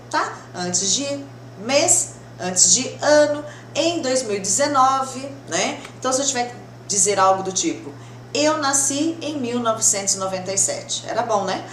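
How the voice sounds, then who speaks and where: A Brazilian woman teaching English in a conversational, reassuring and didactic way, often checking that learners follow. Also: sits high. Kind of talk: conversational grammar lesson to camera. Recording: roomy echo, clear background noise.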